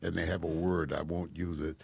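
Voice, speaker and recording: A man in his eighties, a podcast guest telling stories about his life in a soft-spoken, wry, self-mocking way. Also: sits low, flat delivery, brisk, creaky, slight background noise. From Delray. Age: 80s. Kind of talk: casual storytelling interview.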